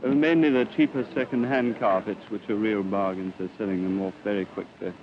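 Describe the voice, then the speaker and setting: A man's voice: on the low side, steady tone, a little fast. A young man working in sales, casually answering interview questions. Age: young adult. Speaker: temporary carpet salesman. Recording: muffled 1950s newsreel, noisy shop floor.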